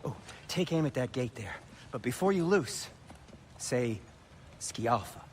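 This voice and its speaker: An actor playing a fussy, squeamish dwarf smith who talks in a prim, fretful way, in a video game cutscene. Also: male voice, mid-range voice, lively intonation, unhurried, a little mellow, close to an everyday voice. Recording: game audio with clear ambient noise.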